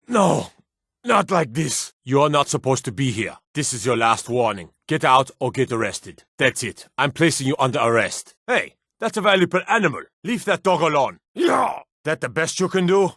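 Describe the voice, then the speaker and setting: An actor playing a gruff, aggressive male guard and soldier in a fantasy video game, rough-voiced, blunt and theatrical in delivery. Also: mid-range voice, fairly bright tone, no room sound, studio quality, heavily acted. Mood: panicked, pleading. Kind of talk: video game combat barks and warnings.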